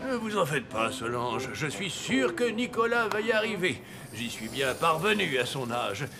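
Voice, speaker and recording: Jolly old Santa, swooping high. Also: male voice, very animated, moderate pace, background noise. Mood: cajoling. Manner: theatrical, playful, cajoling. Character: jolly, playful old Santa Claus mentoring his apprentice. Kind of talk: French animated children's series dubbing. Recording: cartoon music behind the voice.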